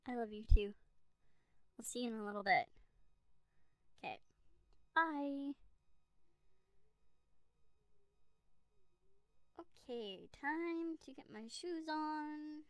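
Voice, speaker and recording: A devoted young girlfriend, played by a woman talks to herself in an ASMR roleplay. Her voice is fairly high and very unhurried, with hesitant pauses. It is recorded close and dry. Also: a bit bright, no echo, full character voice. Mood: affectionate, eager. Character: devoted young girlfriend, eager then self-pitying in rain. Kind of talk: slow ASMR roleplay monologue. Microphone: close USB condenser microphone.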